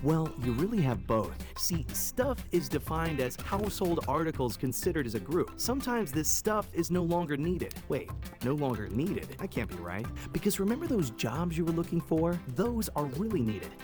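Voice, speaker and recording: A man's voice: on the high side, big pitch swings, normal speed. Playful male ad announcer over music. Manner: playful, teasing questions. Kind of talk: scripted commercial narration. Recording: music bed under voice.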